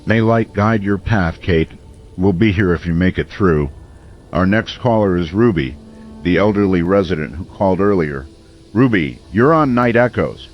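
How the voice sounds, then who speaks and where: An actor playing a grave, steadfast male late-night radio host, broadcasting in a solemn, measured, portentous manner in an audio drama. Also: low voice, animated, muffled recording, soft background music.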